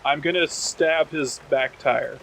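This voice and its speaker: A man talking casually as a tabletop game player, stating his moves in an informal, deliberate way. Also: a little high, gravelly, fairly bright tone, slight background noise, rough recording. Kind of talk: casual game play talk.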